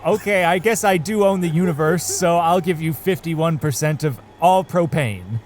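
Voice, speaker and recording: A man's voice: a little high, moderate pace, soft background music, natural voice. A man playing a meek, agreeable billionaire businessman who concedes hesitantly, in an improvised audio drama. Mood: mild, resigned.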